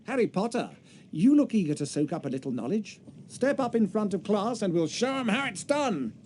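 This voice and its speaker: A man's voice: sits high, very animated, fairly quick, darkish tone, a little hiss or hum. An actor playing a showy, pompous male wizard professor addressing a class in a video game. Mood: grand, showy. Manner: theatrical, pompous.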